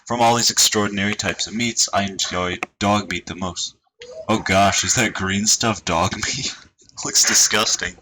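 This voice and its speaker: A man streaming, chatting casually and reading posts aloud in a dry, monotone way. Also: low voice. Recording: noisy home stream recording.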